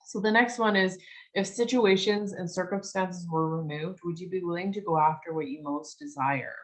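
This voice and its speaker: Slightly croaky woman leading a spiritual circle. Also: fairly low pitch, moderate pace, rough recording. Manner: gentle, reflective, with frequent "um" and restarts. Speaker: spiritual teacher leading a new moon circle. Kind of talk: casual workshop talk and reading aloud.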